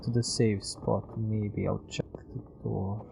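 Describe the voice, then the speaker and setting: A man's voice: lowish voice, level tone, slow pace, creaky, noisy background. A man giving casual live video game commentary as a streamer, thinking aloud, muttering to himself and swearing freely. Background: music.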